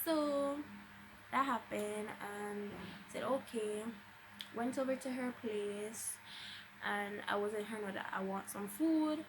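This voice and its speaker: A woman's voice: medium pitch, slow pace, background noise. A young woman with a Jamaican accent tells a personal story casually and dramatically, slipping into Patois and acting out what people said. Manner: dramatic, acts out quoted dialogue. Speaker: vlogger telling a personal story.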